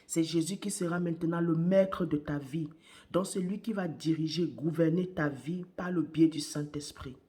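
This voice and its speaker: A woman preaching a morning sermon while she has a cold. Her voice is worn, and she speaks deliberately, repeating key phrases and sometimes dropping to a hush. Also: deep voice. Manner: slow and deliberate, repeats phrases, hushed at times.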